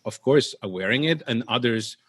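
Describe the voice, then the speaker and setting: A man's voice: medium pitch, animated, moderate pace, fairly bright tone, husky, no room sound. Belgian-accented male host, close dry sound. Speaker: show host. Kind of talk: conversational interview.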